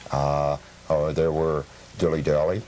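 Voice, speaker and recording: Casting: male collector guest, extremely low voice, halting factual answers, faint tape noise. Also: little pitch movement, darkish tone. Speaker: collector being interviewed. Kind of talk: informal explanatory interview talk.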